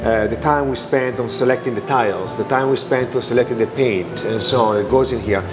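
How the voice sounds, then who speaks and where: Italian-accented restaurant owner, halting answers, music underneath. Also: male voice, medium pitch. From Italy. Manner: halting, many fillers and restarts. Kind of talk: interview answers.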